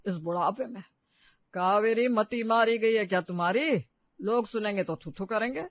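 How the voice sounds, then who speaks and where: Man playing a high-pitched, domineering old patriarch. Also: moderate pace, no room sound, dull audio. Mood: angry, commanding. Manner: domineering, indignant. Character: stern, scolding old patriarch husband and father-in-law.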